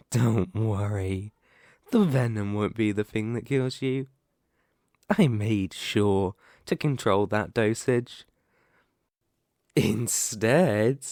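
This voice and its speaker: A man's voice: medium pitch, a little mellow, no room sound, studio quality. A man playing a sadistic, predatory lamia in an ASMR horror roleplay, taunting and menacing. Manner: taunting, menacing, slow deliberate delivery with gloating lilts. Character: sadistic, predatory male lamia, half-snake monster toying with prey.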